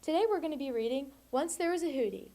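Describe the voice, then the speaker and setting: A woman's voice: high-pitched, animated, quick pace, warm tone. A teenage young woman reading a children's storybook aloud. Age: teens. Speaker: volunteer storybook reader.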